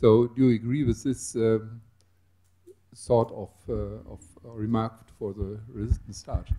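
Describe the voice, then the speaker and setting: Guy in the audience asking a question, super dark low voice, keeps searching for words. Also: flat delivery, a little slow. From Germany.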